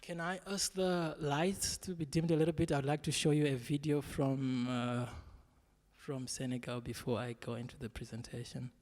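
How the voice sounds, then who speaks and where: A man's voice: mid-range voice, fairly even intonation, darkish tone, slight background noise. Man presenting in a reverberant hall. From Zimbabwe. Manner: measured, with frequent fillers. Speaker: guest speaker. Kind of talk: panel presentation. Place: large exhibition hall.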